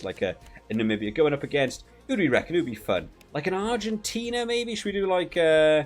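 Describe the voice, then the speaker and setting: A man hosting a video game review with casual, rambling, conversational commentary. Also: a little high.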